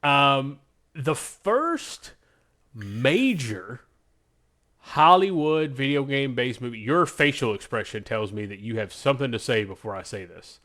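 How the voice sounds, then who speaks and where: A man's voice: medium pitch, lively intonation, unhurried, a bit bright. A man hosting a podcast in a loose, informal, conversational way, rambling as he goes. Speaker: podcast host. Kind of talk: casual podcast chat.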